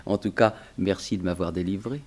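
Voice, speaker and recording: An actor playing a cagey, indignant former burglar with a grudge, slangy and protesting, in 1960s French radio drama style. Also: male voice, low-pitched, fairly quick, a little mellow. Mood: sly, grumbling.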